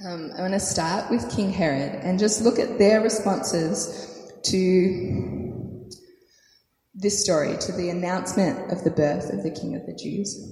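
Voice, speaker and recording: Croaky woman preaching in an echoey hall. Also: fairly low pitch. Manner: soft, hesitant prayer with many fillers. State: hoarse from a sore throat. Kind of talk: sermon with prayer and scripture reading. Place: church hall. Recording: echoey hall, faint noise.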